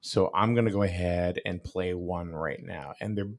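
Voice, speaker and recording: A man's voice: low-pitched, normal speed. A man hosting a casual live talk show, chatting informally. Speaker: show host.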